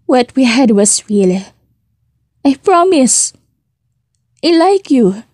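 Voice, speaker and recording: A woman's voice: high-pitched, very animated, drawn-out words, darkish tone, dry recording, studio quality, pitched above the performer's own voice, heavily acted. A woman voicing a distraught young female secret agent in an audiobook drama, pleading in an emphatic, panicked manner. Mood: tearful, pleading.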